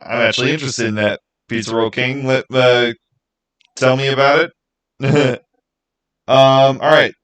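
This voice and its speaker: A man's voice: mid-range voice, steady tone, unhurried, pauses often, fairly bright tone. A man hosting a livestream, chatting casually and informally straight to his viewers. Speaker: live stream host running a giveaway.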